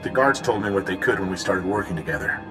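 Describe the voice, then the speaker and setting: An actor playing a grave, dutiful military commander of a prisoner unit in a video game, speaking earnestly and formally. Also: male voice, sits low, expressive, fast. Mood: businesslike. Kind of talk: video game dramatic dialogue. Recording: long reverb, loud music underneath.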